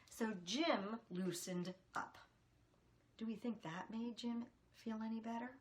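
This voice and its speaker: A woman's voice: on the high side, measured pace, slight background noise. A woman reading a picture book aloud to children, expressively, putting questions to her young listeners.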